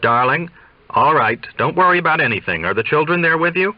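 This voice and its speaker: A man's voice: on the high side, lively intonation, a little fast, no room sound, muffled recording, pitched above the performer's own voice, lightly acted. A man reading an audiobook as a worried older father, affectionate but probing, pressing with questions. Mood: concerned.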